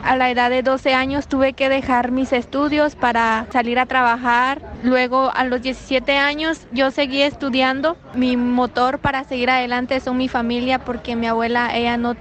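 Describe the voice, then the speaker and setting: A young Guatemalan woman speaks Spanish with a Guatemalan accent, her first language being K'iche'. Her voice sits high and stays fairly level in pitch. She talks in an earnest, steady way. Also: normal speed, few pauses. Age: young adult. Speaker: student guest. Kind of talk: prepared greeting.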